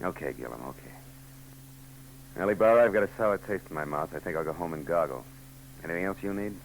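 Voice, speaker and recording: Male hard-boiled radio detective, world-weary and wry, low register, humming noisy vintage tape. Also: lively intonation, fast, gravelly. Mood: wry, cool. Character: tough, world-weary male private detective narrating his case. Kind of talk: 1940s radio detective drama acting.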